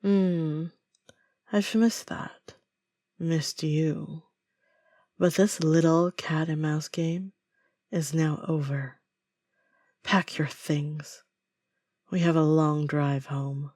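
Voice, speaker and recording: A woman playing a possessive female villain in an intimate audio roleplay, falsely sweet, deliberate and coldly menacing. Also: sits low, fairly even intonation, a little mellow, dry recording, clean studio sound, heavily acted. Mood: threatening, possessive. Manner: slow, deliberate, veiled threats with endearments.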